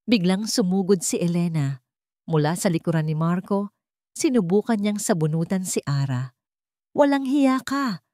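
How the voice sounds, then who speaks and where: A woman reads a dramatic scripted story as an audiobook narrator. Her voice sits slightly low and the sound is very dry. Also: normal speed, warm tone, no room sound.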